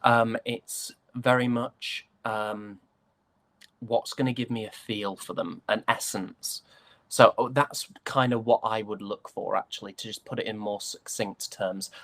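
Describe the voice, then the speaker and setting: A man's voice: fairly low pitch, fairly even intonation, measured pace, a bit bright, dry recording. A man with a British accent chatting casually as an interview guest, hesitant and jokingly self-deprecating. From United Kingdom.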